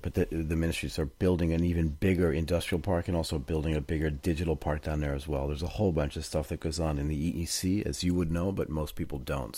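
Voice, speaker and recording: A man's voice: rumbling low, dark tone, slightly rough, dry recording. A middle-aged man hosting a conversational podcast interview. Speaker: podcast host.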